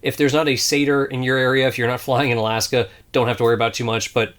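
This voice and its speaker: A man's voice: medium pitch, level tone, fast, fairly bright tone, smooth. A man giving informal, instructive commentary as a flight instructor. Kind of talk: explanatory video commentary.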